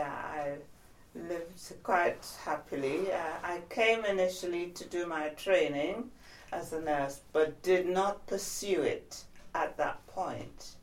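A woman in her seventies from Trinidad, recalling her life in a measured, reflective oral history interview. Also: fairly low pitch, echoey room, distant mic, low-quality recording. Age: 70s. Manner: measured, reflective, trailing off softly.

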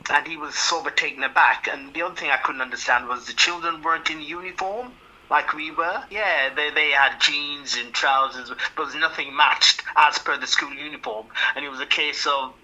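An older man from Jamaica, recalling his past in an interview with a hesitant manner, often repeating words and chuckling softly. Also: a little high, moderate pace, poor audio. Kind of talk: reminiscing interview.

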